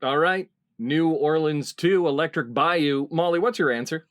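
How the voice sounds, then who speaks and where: Measured, higher-pitched man hosting a quiz. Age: middle-aged. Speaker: quiz show host. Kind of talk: hosting a comedy panel quiz. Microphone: video call.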